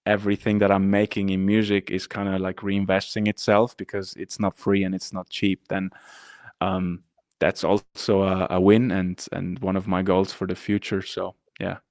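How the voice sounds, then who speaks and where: A man from northern Italy talking casually in a podcast interview, his lowish voice smooth and fairly flat. He rambles with many fillers and restarts. The sound is dry and close. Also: normal speed, no room sound. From Dolomites region of northern Italy. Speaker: guest, activist rapper.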